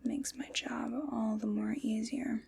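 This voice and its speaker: A woman voicing a calm, teasing female armorer who measures a customer for armor, speaking in soft, mostly unvoiced ASMR whispers with gentle precision and dry jokes. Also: a little high, measured pace, vocal fry, noisy background. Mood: hushed, attentive. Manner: gentle, precise and wryly joking. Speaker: ASMR roleplay performer.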